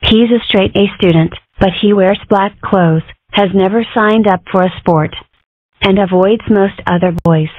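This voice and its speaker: Woman as a reassuring cartoon school shrink, formal, measured, mid-pitched, tinny narrow audio. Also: no echo, close to an everyday voice. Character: courteous, reassuring school psychiatrist, grown woman. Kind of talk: comic strip dub. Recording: muffled, phone-like narrow sound.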